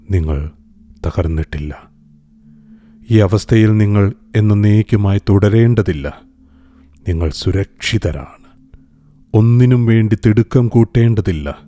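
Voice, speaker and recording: A man guiding a scripted meditation in a calm, soothing, gentle manner, pausing deliberately between phrases. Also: deep voice. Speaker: meditation guide. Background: music.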